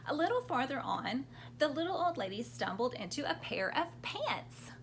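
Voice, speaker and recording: A woman's voice: high-pitched, big pitch swings, moderate pace, a little hiss or hum. A woman reading a story aloud to young children expressively, prompting them to join in as she goes. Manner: interactive, prompting children with questions and sound words.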